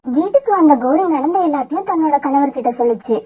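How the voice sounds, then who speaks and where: A woman narrating a children's cartoon story in a steady, even way. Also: high-pitched, quick pace.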